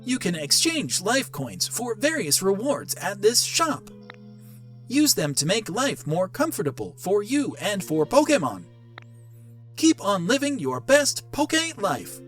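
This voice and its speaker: A man voicing a cheerful robotic device announcer in a video game, with formal, drawn-out announcements. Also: sits high, big pitch swings, fairly bright tone, far from the mic, faint music behind, voice pushed up, full character voice. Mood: upbeat, announcing.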